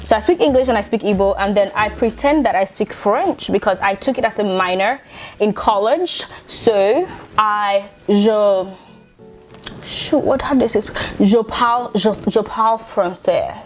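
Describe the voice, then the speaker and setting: A woman's voice: medium pitch, a little slow, faint music behind. A woman in her twenties with a Nigerian accent, chatting casually to camera from her bedroom, laughing often and restarting her sentences. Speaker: vlogger.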